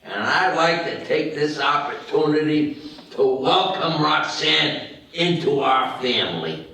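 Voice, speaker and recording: A man playing an upbeat city councilman and proud father at a table read, speaking in a measured, public way. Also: a little high, lively intonation, bright, forceful tone, slight background noise, heavily acted. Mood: happy, proud. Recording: very echoey room, distant mic.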